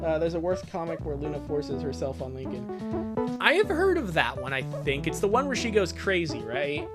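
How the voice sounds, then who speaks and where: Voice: medium pitch, very animated, moderate pace, over loud music. A livestream host chatting casually and reacting to viewers in an exasperated, rambling, sweary way. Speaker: livestream host reading fan fiction aloud.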